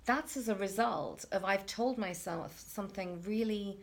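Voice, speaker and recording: A woman's voice: mid-range voice, unhurried. A middle-aged woman hosting a reflective conversation, talking hesitantly with many restarts and fillers. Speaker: interview host.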